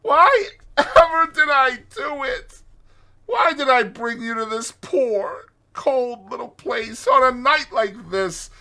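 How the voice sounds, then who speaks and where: A man performs a timid storybook mole in a raised, high voice. The creature is doleful and self-reproaching, with a plaintive wail to every phrase. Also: sharp tone, full character voice. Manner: plaintive, wailing. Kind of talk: dramatized storybook reading.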